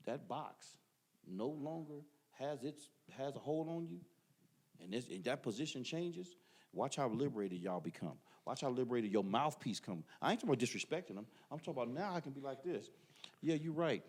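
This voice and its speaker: A man's voice: medium pitch, a little mellow. A middle-aged man in casual podcast debate, talking emphatically and repeating words when others cut in. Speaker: podcast guest.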